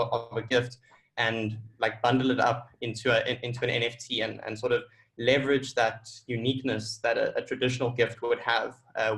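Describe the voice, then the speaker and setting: A man gives an informal conference-call presentation about a tech project. His pitch is middling and stays fairly flat from phrase to phrase. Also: low-quality recording.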